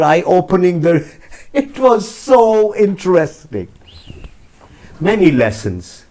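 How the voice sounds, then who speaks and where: A man's voice: high voice, mellow. Earnest older Indian man. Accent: Indian English. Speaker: church regional representative. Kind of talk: interview answers.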